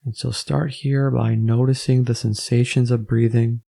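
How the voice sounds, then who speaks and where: A man narrating a guided meditation as the instructor, gently and in measured phrases with pauses. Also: mid-range voice, little pitch movement, creaky.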